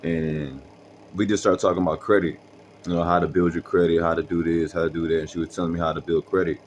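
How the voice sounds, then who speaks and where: A young man with a very deep voice tells a story in casual slang, rambling with lots of fillers. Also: level tone, quick pace, slight background noise. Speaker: vlogger.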